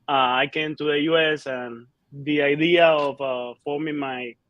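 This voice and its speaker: Halting Cuban-born metal singer, dry sound. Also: male voice, on the high side, steady tone, fairly bright tone, no room sound, highs cut off. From Cuba. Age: 30s. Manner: halting, many fillers and restarts. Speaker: band singer and bassist. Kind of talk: casual interview answers.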